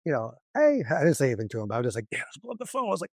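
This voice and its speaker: A man's voice: on the high side, wildly swooping pitch, very fast, gravelly, no echo, clean studio sound. Rambling casual male podcast host. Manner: rambling, loose, many restarts. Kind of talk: casual interview chat.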